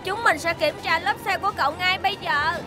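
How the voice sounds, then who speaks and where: Woman as a very high-voiced young cartoon helicopter robot. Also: brisk, music in the background, crowd noise. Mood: urgent. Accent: southern Vietnamese. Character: friendly, earnest young rescue helicopter robot. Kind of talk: children's cartoon dubbing.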